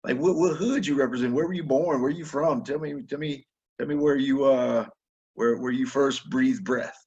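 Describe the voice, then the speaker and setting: A man's voice: medium pitch, normal speed, darkish tone, husky, lo-fi sound. A man hosting a casual interview over a webinar call, rambling with stammered restarts and slangy phrasing. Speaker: host. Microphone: webinar video call.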